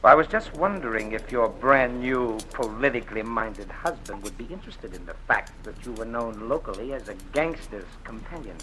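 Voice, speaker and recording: A sleazy blackmailer. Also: male voice, mid-range voice, expressive, moderate pace, hardly any pauses, pushed and bright, slight background noise, rough recording. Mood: taunting. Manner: oily, taunting, drawling with hesitant uhs. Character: smooth, sleazy male ex-investigator turned blackmailer. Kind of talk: 1940s radio drama acting.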